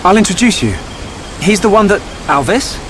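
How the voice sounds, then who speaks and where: A man's voice: high-pitched, animated, moderate pace, noisy background. A man with a British accent voicing an earnest, inquisitive young hero in a dramatic video game. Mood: insistent.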